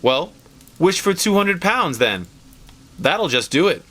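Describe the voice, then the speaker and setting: A man's voice: sits high, big pitch swings, slow pace, fairly bright tone, pitched above the performer's own voice, heavily acted. A man voicing a frivolous grown son in a storybook, teasing in a mock-dramatic way.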